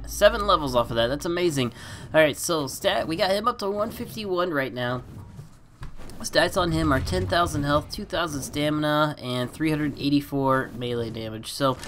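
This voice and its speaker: A man hosting a gaming channel, chatting excitedly over his gameplay and laughing often, with a stuffy nose. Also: on the high side, a little hiss or hum. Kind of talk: casual gameplay commentary.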